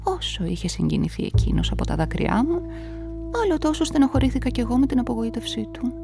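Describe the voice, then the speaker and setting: An actress narrating a radio play in the first person as a nervous events manager, often dropping into confiding whispers. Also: female voice, on the high side, big pitch swings, creaky, over music. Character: nervous, talkative female events manager caught up in a murder.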